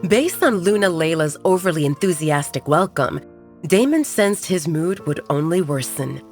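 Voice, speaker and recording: A woman's voice: deep voice, animated, normal speed, darkish tone. A woman narrating a scripted romance audio drama as an audiobook storyteller, with music behind her. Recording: music bed under the voice. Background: background music.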